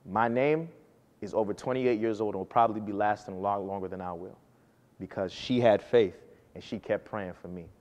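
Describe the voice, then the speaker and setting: A man's voice: fairly low pitch, normal speed, darkish tone, in a large hall. A man in his early twenties giving a personal storytelling speech to an audience, earnest and emphatic, rising and falling for effect. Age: early 20s. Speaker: speaker giving a talk to an audience.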